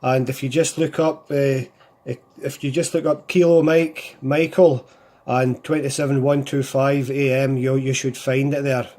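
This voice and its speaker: A man's voice: medium pitch, flat delivery, measured pace, darkish tone. A man with a Scottish accent chatting casually as an amateur radio operator, repeating himself and using radio call phrases. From Scotland. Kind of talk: casual CB radio contact chat.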